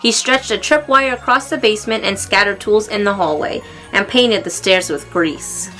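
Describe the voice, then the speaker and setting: Female storybook reader, bright and clear-cut, expressive delivery for young listeners, soft music underneath. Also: mid-range voice, normal speed, rough recording. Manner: expressive, acting out exclamations and dialogue. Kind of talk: read-aloud children's story narration.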